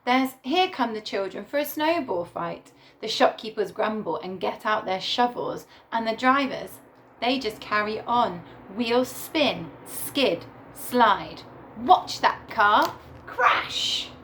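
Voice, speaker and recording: A woman teacher reading a picture book aloud to young children in a deliberate, animated storytelling way. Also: a little high, a little hiss or hum. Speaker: primary school teacher reading to her class.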